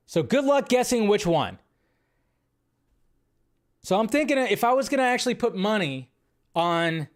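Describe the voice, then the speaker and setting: A man hosting a live stream, chatting casually and thinking aloud as he goes, with frequent restarts. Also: sits high. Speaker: host.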